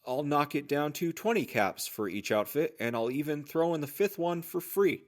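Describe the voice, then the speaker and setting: A man's voice: fairly high pitch, animated, moderate pace, lightly acted. A man playing a chatty, obliging general-store trader in a post-apocalyptic audio drama, full of eager sales patter. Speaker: voice actor playing a shopkeeper.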